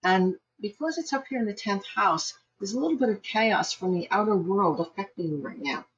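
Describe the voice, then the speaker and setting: A woman giving live psychic astrology readings online, chatty and quick to laugh, with frequent restarts. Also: mid-range voice, expressive, breathy edge, dull audio.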